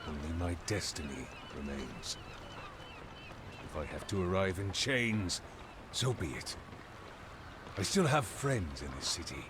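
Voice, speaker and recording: A man's voice: deep voice, expressive, measured pace, pauses often, noisy background. A man acting as a deposed pirate king in a video game, menacing, fatalistic and theatrical. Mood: grave, defiant.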